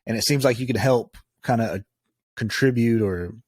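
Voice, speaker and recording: A man's voice: mid-range voice, normal speed, darkish tone. A man interviewing a guest in a casual, conversational style. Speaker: podcast interviewer.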